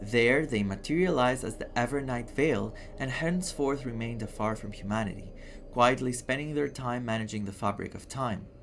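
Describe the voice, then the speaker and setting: Expressive male game streamer from Greece. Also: medium pitch, creaky, slight background noise. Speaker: gaming streamer. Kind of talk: game playthrough commentary, reading game text aloud. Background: game music.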